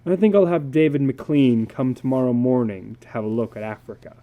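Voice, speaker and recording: A man's voice: medium pitch, a little fast, narrow, tinny audio, close to an everyday voice. A man reading the part of a stern, troubled father who is frustrated with his children. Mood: grim.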